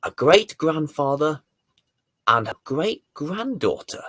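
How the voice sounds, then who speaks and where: Male children's storyteller, very lively intonation, theatrical emphasis, dry close recording. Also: fairly high pitch, no room sound. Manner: deliberate, theatrical emphasis with dramatic swells and hushes. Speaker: children's bedtime story presenter and reader. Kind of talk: expressive bedtime storytelling for young children.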